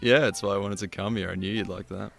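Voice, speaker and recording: A young boyfriend in an audio drama, easily hurt but tender. His low voice is gravelly with fry and halting in delivery, over faint music. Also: steady tone, fairly bright tone. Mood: tender, nervous. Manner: hesitant, halting.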